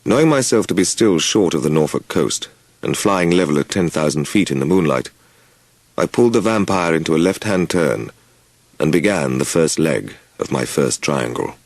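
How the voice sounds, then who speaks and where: A man reading a first-person story as an audiobook narrator, with measured, deliberate phrasing and dramatic pauses. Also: extremely low pitch, expressive, dry recording.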